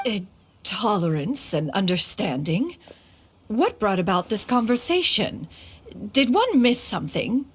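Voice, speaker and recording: A woman's voice: mid-range voice, sing-song, a little slow, a bit bright, a little hiss or hum. A woman playing an imperious, mature immortal master in a video game, with stately, formal, archaic speech. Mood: dignified, inquiring. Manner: formal, archaic, refers to self as "one". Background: music.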